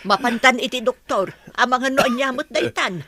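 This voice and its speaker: A woman's voice: fairly high pitch, moderate pace, pushed and bright. A woman with an Ilocano Filipino accent playing an anxious wife and mother fretting over her sick husband in a radio drama. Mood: anxious, urgent.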